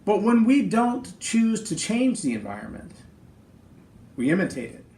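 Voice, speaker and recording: Middle-aged male podcast host, big high-set voice, earnest and emphatic, roomy echo. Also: sing-song, measured pace, a little mellow, soft background music. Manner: earnest, emphatic, speaks in lists. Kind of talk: motivational leadership talk.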